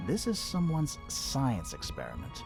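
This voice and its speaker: Video game detective, male, very animated intonation, expressive and explanatory, faint background music. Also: medium pitch, a little slow, vocal fry, heavily acted. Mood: explaining, intrigued. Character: eager male detective, theatrical, reasoning aloud through clues. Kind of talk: video game voice acting, dialogue.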